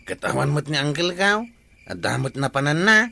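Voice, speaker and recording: A dismissive, teasing village patrolman, played by a man in Ilocano radio comedy. His voice is a little high, crisp and bright with a lot of creak, and carries an Ilocano accent. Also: very animated, normal speed, no room sound, heavily acted. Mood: teasing, reproachful.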